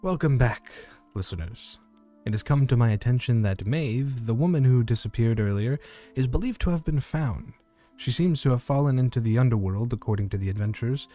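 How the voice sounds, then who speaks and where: A man playing a small-town radio host in an audio drama, reporting eerie fantasy news in a measured, formal announcer manner. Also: lowish voice, dark tone, soft background music, close to an everyday voice. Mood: measured, grave. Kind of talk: scripted community radio news narration in audio fiction.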